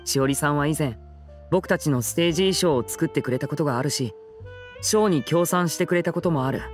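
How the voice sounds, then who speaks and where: A man's voice: a little high, fast, soft background music, close to an everyday voice. Man as a courteous, boyish young stage magician. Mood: courteous, earnest. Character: polite, smooth young male stage magician, gentle and loyal. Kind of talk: Japanese video game voice acting.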